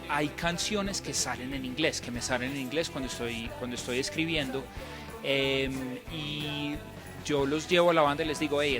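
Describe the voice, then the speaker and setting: A young man born in Scotland, a native English speaker, answering interview questions in a hesitant way, with many fillers and restarts. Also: mid-range voice. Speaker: band member.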